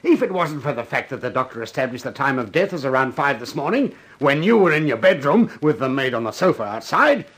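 An actor playing a tough, sardonic, bullying police lieutenant in a 1950s radio detective drama. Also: male voice, medium pitch, very animated, a little fast, hardly any pauses, a bit bright, husky. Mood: angry. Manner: sarcastic, menacing, relishing his power.

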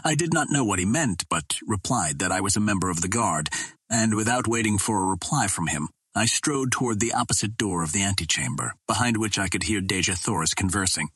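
A man reading an adventure novel aloud as scripted dramatic narration, in a measured, literary storytelling manner. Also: fairly low pitch, expressive, a bit bright, breathy edge, no room sound. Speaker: audiobook narrator.

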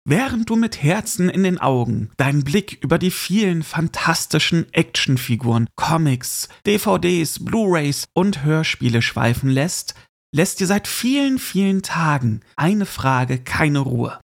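Male podcast host, fairly high voice with heavy creak, informal and chatty. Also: very animated, measured pace. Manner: chatty, informal, addresses listener directly. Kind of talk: casual solo podcast monologue with gift tips.

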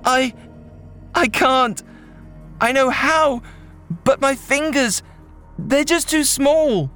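A man voicing a frightened but determined young boy who talks in a high, urgent voice. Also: slow pace, pushed and bright, soft background music, heavily acted. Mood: pleading.

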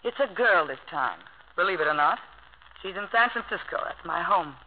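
An actress playing a lonesome, proud, flirtatious wealthy woman in 1950s radio drama style, cool and sardonic with sharp comebacks. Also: fairly low pitch, lively intonation, moderate pace. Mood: evasive. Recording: crackly narrow-band 1950 radio broadcast.

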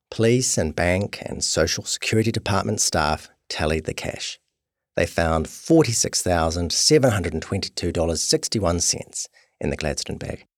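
A man reads scripted documentary narration as a podcast narrator. His voice sits on the low side, and the recording is close and dry. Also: no room sound.

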